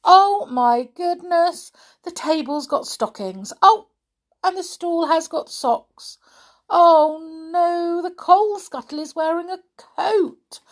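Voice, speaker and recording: A woman's voice: high voice, very animated, measured pace, pitched above the performer's own voice, exaggerated voice. A woman voicing a flustered, scatterbrained young woman who exclaims and stammers breathlessly. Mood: dismayed.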